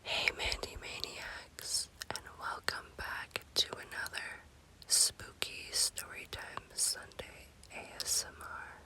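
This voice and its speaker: A woman whispering in a hushed, unhurried manner as an ASMR storytelling host. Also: low-quality recording.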